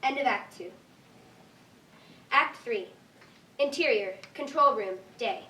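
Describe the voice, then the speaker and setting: Female narrator, scripted stage directions, slightly high voice, distant roomy recording, faint noise. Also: slow, pushed and bright.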